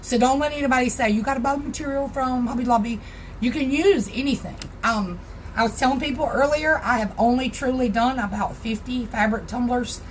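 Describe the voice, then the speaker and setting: A woman with a Southern US accent and a hoarse, raspy voice, chatting casually through a live crafting tutorial from her home craft room and greeting viewers by name. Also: fairly high pitch, brisk, a little hiss or hum, rough recording.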